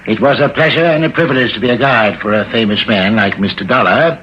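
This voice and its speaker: A man's voice: mid-range voice, fast talker, a bit bright, lightly acted. Man playing a humble rustic captain, noisy old radio. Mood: admiring. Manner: folksy, deferential, rustic grammar. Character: humble, admiring rustic fishing-boat captain and guide. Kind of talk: vintage radio drama acting. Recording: dull, noisy vintage radio recording.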